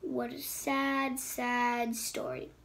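A woman's voice: high-pitched, slow pace, fairly bright tone. A woman voicing a scheming, venomous female sand dragon queen who hisses and mocks, reading a storybook aloud. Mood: taunting. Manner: menacing, mocking, hissing taunts. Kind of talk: storybook read-aloud voice acting.

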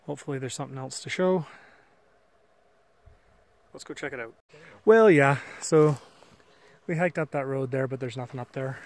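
A man's voice: medium pitch, moderate pace, long pauses, no room sound. A man filming and casually narrating a walk through an old mine site outdoors, thinking aloud with fillers on a camera mic. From Canada. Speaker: mine explorer filming a walkthrough.

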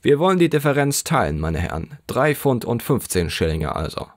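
A man's voice: mid-range voice, sing-song, normal speed, no room sound, studio quality, voice pushed up. A man voicing a poor working man who pleads and haggles over a price in an audiobook. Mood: wheedling. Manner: wheedling, complaining.

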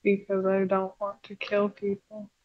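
A woman's voice: medium pitch, completely flat delivery, measured pace, natural voice. Lo-fi mic, woman acting a female puppet, game roleplay, wary, blunt, irritated, stubborn. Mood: firm, irritated. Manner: guarded, blunt, exasperated. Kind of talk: improvised Minecraft roleplay.

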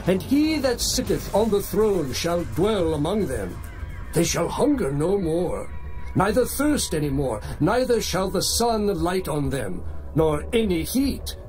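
A man voicing a solemn heavenly elder in a dramatized scripture reading, speaking slowly and with measure. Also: high voice, big pitch swings, darkish tone, rough recording. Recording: music playing underneath.

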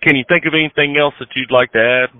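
A man's voice: fairly high pitch, fairly even intonation, fast. A man hosting a casual recorded phone conversation, chatting in a folksy, chummy way over a phone line. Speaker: podcast host interviewing a guest. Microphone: phone call. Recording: dull, band-limited phone call recording.

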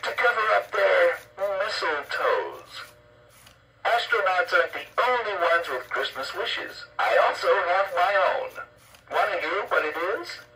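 Bright, high-voiced talking Christmas tree. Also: male voice, big pitch swings, unhurried, far from the mic, exaggerated voice. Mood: playful, boastful. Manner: theatrical, punning, playfully vain. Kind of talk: scripted toy character performance, recitation, jokes and crooning. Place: played from a toy's speaker indoors. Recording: noisy cassette playback heard across a room.

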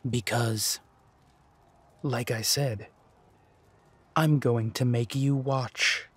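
Audio drama villain, played by a man: ruthless, coolly mocking, husky, slow and deliberate. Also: mid-range voice, fairly even intonation. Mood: cruel, mocking. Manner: slow, deliberate, menacing delivery.

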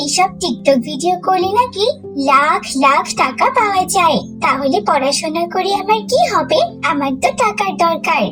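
A woman's voice: very high-pitched, lively intonation, moderate pace. A woman voicing a sly, eager young schoolgirl in a children's moral cartoon, with music behind her. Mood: scheming, excited.

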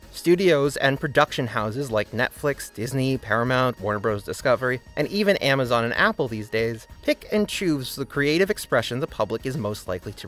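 A man's voice: medium pitch, fairly bright tone. A man narrating his own scripted video essay, earnest and argumentative, over faint background music.

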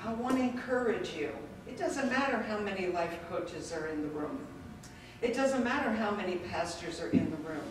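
Older woman with a preacherly, encouraging tone. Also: medium pitch. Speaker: event host introducing a speaker. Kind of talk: inspirational introduction. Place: hotel meeting room. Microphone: podium mic on a stand, recorded by a far camera. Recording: echoey room from far off, noisy.

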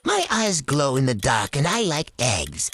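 A woman's voice: extremely low pitch, wildly swooping pitch, a little slow, a bit bright, slight background noise. A woman reading short scripted riddle clues for a quiz. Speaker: quiz clue reader.

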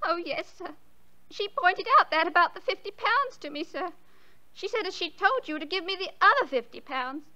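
A woman's voice: very high-pitched, expressive, moderate pace, bright, forceful tone, exaggerated voice. An actress playing a timid, earnest housemaid in a radio drama, meek and respectful toward her betters. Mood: deferential.

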